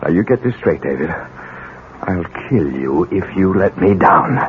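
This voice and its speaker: A man's voice: deep voice, lively intonation, unhurried. An actor playing an anxious, controlling middle-aged American professor and father, stammering and insistent, in a 1970s radio drama. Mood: urgent, pleading. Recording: muffled narrow-band old radio recording, hiss.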